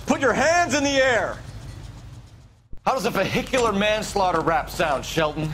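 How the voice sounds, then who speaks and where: A man's voice: high voice, sing-song, moderate pace, music in the background. An American man acting a brusque, driven young police detective in a video game, questioning with clipped authority. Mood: accusing.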